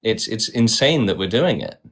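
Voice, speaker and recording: Man, fairly low voice, host arguing hard with guests, keeps saying right. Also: very animated, moderate pace. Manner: insistent, repeats "right?". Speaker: host of a debate stream. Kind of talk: argumentative debate.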